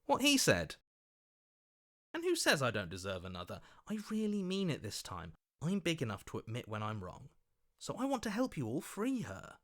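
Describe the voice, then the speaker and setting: Two-faced opportunist for a video game, smarmy suck-up, really swoopy intonation. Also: male voice, mid-range voice, wildly swooping pitch, normal speed, a bit bright. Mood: ingratiating. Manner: ingratiating, wheedling. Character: smarmy, fickle, self-serving male opportunist, an inner voice. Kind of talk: video game character acting.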